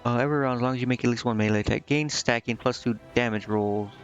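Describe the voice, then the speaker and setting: A man streaming a video game, casually thinking out loud and reading menu text to himself, with some offhand swearing. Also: mid-range voice, steady tone, quick pace, dull audio, faint music behind. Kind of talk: casual live gameplay commentary, muttering and reading menu text aloud.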